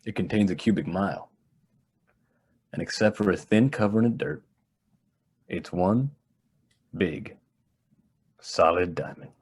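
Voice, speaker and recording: A man acting a privileged young heir in a radio drama, polite, measured and matter-of-fact, with a husky voice. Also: sits low, a little mellow. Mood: evasive, calm.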